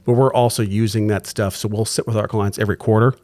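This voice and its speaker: Male guest, rapid explainer, lowish register, talks in looping restarts. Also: steady tone, a little mellow. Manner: fast, with restarts and tag questions. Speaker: client services executive, guest. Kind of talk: explanatory business talk.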